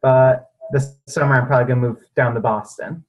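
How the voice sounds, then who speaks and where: A man's voice: medium pitch, brisk, rough recording. Laid-back young man, early twenties. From New Hampshire, US. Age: early 20s. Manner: relaxed, laughing, lots of "like". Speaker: university student. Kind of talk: casual video call chat.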